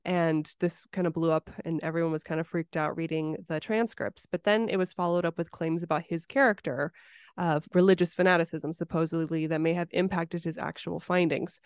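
A woman's voice: on the low side, steady tone, fairly quick, clear, resonant tone, no echo, clean studio sound. A woman hosting a podcast in a casual, conversational interview style. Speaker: podcast host.

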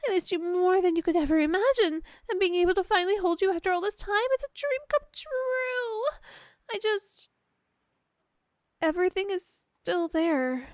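A woman's voice: very high voice, animated, normal speed, dry recording, clean studio sound. A woman playing a clingy, obsessive young girlfriend in an ASMR roleplay, sweet, gushing and emotional, with an apologetic, hesitant way of talking. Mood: fragile, confessing.

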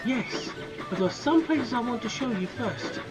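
A woman's voice: fairly high pitch, expressive, normal speed, dull audio, lightly acted. A woman voicing a cheerful young male tank engine who talks eagerly and chattily, with music underneath.

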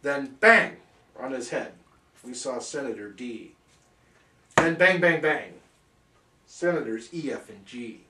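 A man's voice: medium pitch, expressive, takes it slowly, long pauses, reverberant, recorded from a distance, noisy background. A man reading a rhyming bedtime story parody aloud in a theatrical, singsong manner.

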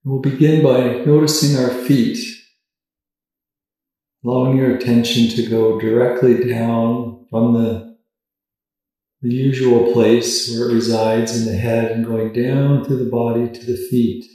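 A man guides a meditation in a gentle, level voice of medium pitch. He speaks very slowly, leaving space between phrases. The sound is strongly reverberant, as if he sits some way from the microphone. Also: level tone. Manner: gentle, unhurried, with long pauses between phrases. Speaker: meditation teacher. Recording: very echoey room, distant from mic.

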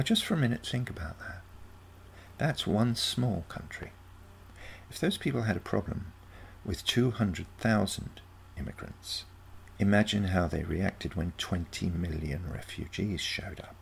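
Man voicing a self-assured, creaky-voiced teenage granddaughter. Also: sits low, a little hiss or hum, exaggerated voice. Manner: calm, matter-of-fact, condescending. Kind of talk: scripted short story reading.

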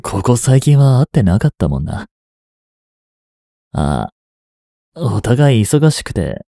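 A man in his twenties playing a reluctant, guilt-ridden young hitman in an audio drama, softly spoken and halting. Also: mid-range voice, big pitch swings, creaky, no echo, clean studio sound, exaggerated voice. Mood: feigned casual. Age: 20s. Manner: halting, subdued delivery. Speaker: voice actor. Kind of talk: Japanese audio drama monologue and dialogue.

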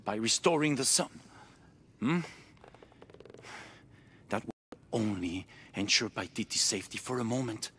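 An actor playing a proud, driven middle-aged cult leader in a video game cutscene, intense and grandiose, moving between hushed and declamatory. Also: male voice, lowish voice, animated, measured pace, long pauses, a little hiss or hum, full character voice. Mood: urgent.

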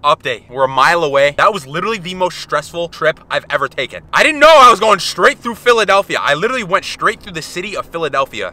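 A young man vlogging to a handheld camera, telling stories in animated slang. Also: on the high side. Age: young adult. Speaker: fitness vlogger. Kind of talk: casual vlog to camera. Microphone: handheld camera held at arm's length.

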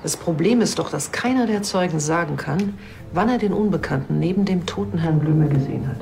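A police boss in a TV crime drama. She is cool, brisk and ironic, with a fairly low voice. There is faint noise behind her. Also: lively intonation, gravelly, a little mellow. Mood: dry, sceptical.

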